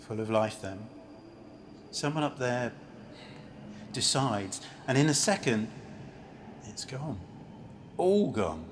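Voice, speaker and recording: A man's voice: medium pitch, sing-song, takes it slowly, long pauses. A man acting a bitter, grief-worn former banker down on his luck in an amateur radio play, blunt, cynical and world-weary. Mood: grieving. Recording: home recording with faint background noise.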